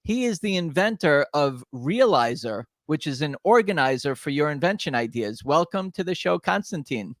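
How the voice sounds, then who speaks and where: A man's voice: a little high, sing-song, moderate pace, few pauses, dry recording, studio quality. A man hosting an invention interview show, asking loose, rambling questions. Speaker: show host.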